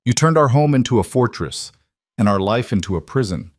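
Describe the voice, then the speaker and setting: A man's voice: medium pitch, lively intonation, brisk, no room sound, clean studio sound, pitched above the performer's own voice, natural voice. A man voicing a defiant, hurt woman in an audiobook drama, guarded, stubborn and accusing. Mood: hurt anger. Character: defiant, hurt pregnant wife of a mafia boss.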